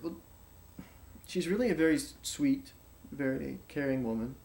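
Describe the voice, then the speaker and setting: An actor playing a wary but softening son who recounts events hesitantly in naturalistic, interview-like film dialogue. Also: male voice, medium pitch, creaky, natural voice. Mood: fond. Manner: hesitant, halting.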